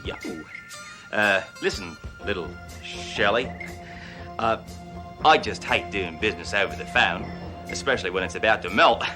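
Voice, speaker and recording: A man's voice: on the low side, animated, normal speed, pauses often, lightly acted. Put-on Australian accent, boastful rich outback dealer, as a man plays him, swaggering, folksy, music underneath. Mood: smarmy. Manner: loud, swaggering, folksy bragging.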